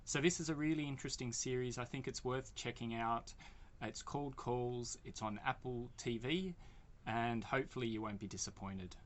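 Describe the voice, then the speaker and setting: A man speaks casually at a middle pitch, as a podcast editor making a recommendation. He searches for his words a little as he goes. There is clear noise behind his voice. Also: normal speed.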